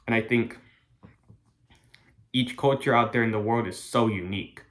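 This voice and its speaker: A young man from the United States giving a prepared class speech in an earnest, careful, halting way. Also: medium pitch, fairly bright tone. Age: young adult. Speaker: college student.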